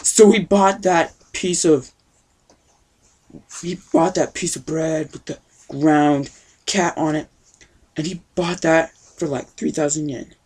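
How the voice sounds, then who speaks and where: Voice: mid-range voice, steady tone, slow pace, pauses often, darkish tone, husky. An amateur performer voicing an old grandpa who tells a tale in a rambling, folksy way with youthful slangy phrasing. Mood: rambling storytelling. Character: totally wicked awesome old grandpa telling a tale. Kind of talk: rambling improvised storytelling.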